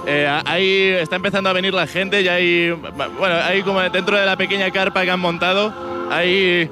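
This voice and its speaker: A man working as a street reporter, interviewing people at an outdoor festival with loud music going on, talking hesitantly with many restarts. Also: sits high, fairly even intonation, normal speed. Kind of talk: on-location radio interviews.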